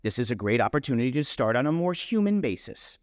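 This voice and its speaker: A man's voice: mid-range voice, very animated, fast, clean studio sound, close to an everyday voice. Man acting pompous wounded young man, hall reverb. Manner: pompous, oratorical, indignant. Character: self-righteous, wounded young man declaiming his letter to a girlfriend.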